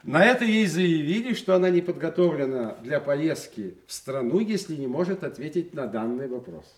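A man acting in a Soviet radio play as a brisk party secretary, speaking in official phrases with dry, authoritative irony. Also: fairly high pitch, expressive, lightly acted. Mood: ironic, insistent. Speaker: actor.

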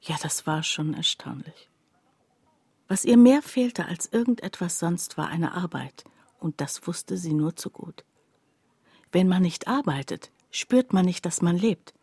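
An actress playing a reflective woman who narrates a radio drama about a destitute friend in a confiding, deliberate way, with a husky, breathy voice. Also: fairly low pitch, expressive, dark tone, no room sound, full character voice. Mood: sober, pensive. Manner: confiding, deliberate, addressing the listener directly. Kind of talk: intimate radio drama monologue.

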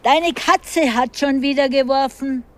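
An older actress playing a loud, bossy, affectionate village goat-keeper in a radio drama. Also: female voice, high voice, measured pace. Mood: bossy, caring. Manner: loud, effusive. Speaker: supporting character.

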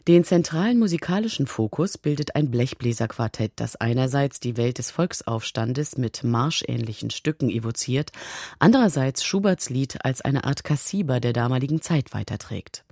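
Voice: medium pitch, expressive, normal speed, darkish tone, no echo. Scripted radio introduction, dry studio sound. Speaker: radio presenter introducing an audio drama.

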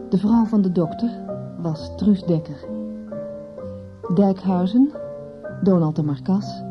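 A woman announcer reading the cast and credits of a radio drama in a formal, scripted way. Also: medium pitch. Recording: dull old radio recording. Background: music.

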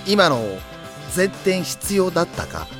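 A man playing a stern, level-headed high school volleyball captain in an anime audio drama, brisk and authoritative. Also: wildly swooping pitch, full character voice. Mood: baffled. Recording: music behind the voice.

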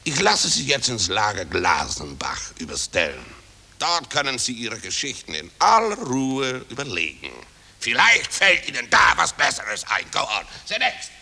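Sardonic interrogator, gravelly, very animated, 1960s radio drama, reverberant hall. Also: male voice, mid-range voice, normal speed, exaggerated voice. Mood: sardonic, dismissive. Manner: sardonic, dismissive. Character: mocking Allied interrogator, English phrases in Austrian German.

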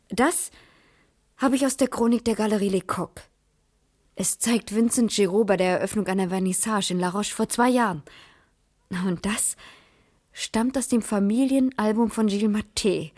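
An actress playing a sharp, insistent female private detective who probes and reasons, in a German radio crime drama. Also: mid-range voice, dry recording, clean studio sound, close to an everyday voice. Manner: probing, insistent.

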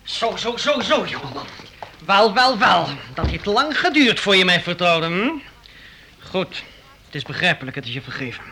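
Pompous curt baron, very high piercing male voice, fussy sputtering repeats, noisy radio play recording. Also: big pitch swings, normal speed, sharp tone, exaggerated voice. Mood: impatient, imperious. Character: stern, curt, pompous but good-hearted baron. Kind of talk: radio drama acting.

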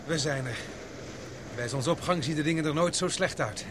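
An actor playing a calm, resolute man who leads island survivors, in a measured, commanding Dutch radio drama style. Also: a little high, close to an everyday voice. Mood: grave, resolute. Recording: noisy old radio drama recording.

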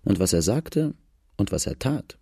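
A man's voice: lowish voice, very animated, unhurried, vocal fry, dark tone, husky, full character voice. An actor playing a brooding outlaw narrating his boyhood in a German radio drama, grave and confessional.

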